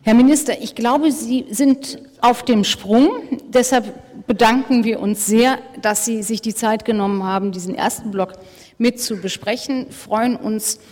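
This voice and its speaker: A woman moderating a live panel discussion on a conference stage, brisk and wry, hurrying speakers along. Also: on the high side, darkish tone. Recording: reverberant hall.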